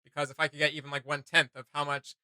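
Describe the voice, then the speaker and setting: A man hosting a video game reaction show, chatting casually in a rambling, excitable, slangy way. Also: on the high side, rapid-fire, dry recording.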